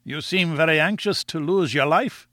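A man's voice: fairly high pitch, moderate pace, bright, forceful tone, no room sound, clean studio sound, voice pushed up. A man voicing a kindly, crabbed old Scottish newspaper editor in an audiobook, with an avuncular, exclamatory way of talking. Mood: alarmed, discouraging. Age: older. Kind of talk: audiobook character dialogue.

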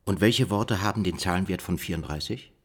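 Male code-breaker, radio play, low husky voice, inquisitive, sceptical, thinking aloud. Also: a little fast. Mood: curious, excited. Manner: questioning, reasoning aloud. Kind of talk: German radio play dialogue.

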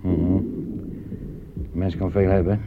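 A tired, plain-spoken sergeant in a wartime radio play. He speaks low and haltingly, searching for words. The old recording is dull, with clear hum and clicks. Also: flat delivery. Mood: weary, reminiscing. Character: war-weary, plain-spoken German army sergeant in the trenches. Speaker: actor. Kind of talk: Dutch radio play acting.

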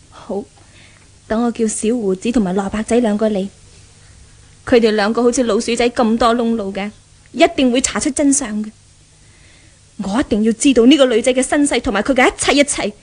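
Casting: woman to play young rich heiress, high voice, Hong Kong Cantonese accent, petulant, possessive, scheming, 1970s radio melodrama. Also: normal speed, pauses often, a little mellow, lightly acted. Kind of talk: 1970s radio drama acting. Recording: 1970s radio drama recording.

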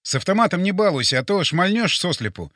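A man's voice: sits high, big pitch swings, quick pace, fairly bright tone, no room sound, clean studio sound, exaggerated voice. A man voicing a reluctant, wary male hero in an audiobook, exasperated and full of questions. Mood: nervous, impatient.

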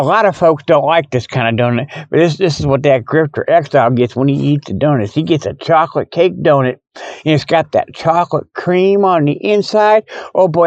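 A man's voice: sits high, no echo. A man hosting a rambling, casual show from his parked truck in a folksy, jokey, exclamatory way. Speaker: podcast host. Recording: dull, muffled lo-fi audio.

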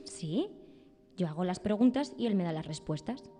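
A woman's voice: fairly low pitch, lively intonation, normal speed, mellow, lightly acted. Radio drama role for an actress: innocent young girl, cheeky, chatty, long reverb, music underneath. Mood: playful. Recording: reverberant room, music behind.

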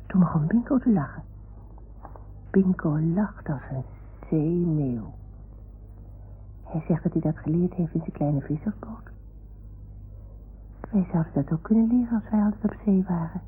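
A woman playing a small woolly toy dog in an old radio play, excitable and confiding, with childlike, theatrical earnestness. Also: lowish voice, natural voice. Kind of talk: 1960s-70s radio play acting. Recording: tinny, noisy old radio recording.

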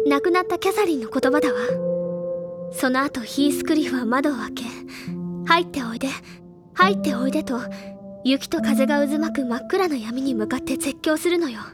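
A woman acting a bookish, earnest teenage schoolgirl sleuth in an audio drama, insistent and explaining things deliberately in feminine phrasing. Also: high voice. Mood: solemn, deducing. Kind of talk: Japanese audio drama acting, accusatory monologue. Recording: loud music under the voice.

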